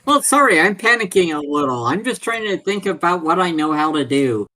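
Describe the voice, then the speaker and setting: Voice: mid-range voice, sing-song, a little fast, pushed and bright. A tabletop game player chatting casually with the group.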